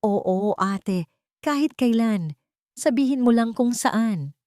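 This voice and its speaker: A woman's voice: a little high, lively intonation, takes it slowly, mellow, no echo, clean studio sound, pitched above the performer's own voice. A woman voicing an anxious, guilty younger sister in an audiobook family drama, worried and over-eager. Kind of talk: audiobook character dialogue.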